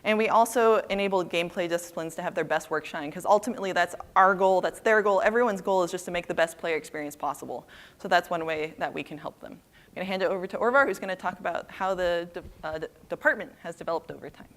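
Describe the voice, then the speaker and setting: A woman giving a brisk, practical conference talk about design work, with a few restarts. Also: lowish voice. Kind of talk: presentation talk. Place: conference stage.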